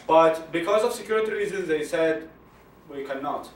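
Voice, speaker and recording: A young man from Iraq, Arabic native, with an Iraqi Arabic accent, answering audience questions at a talk in a halting way, repeating words and laughing often. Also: on the high side, expressive, slight background noise. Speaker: guest speaker. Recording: echoey room from far off, faint ambience.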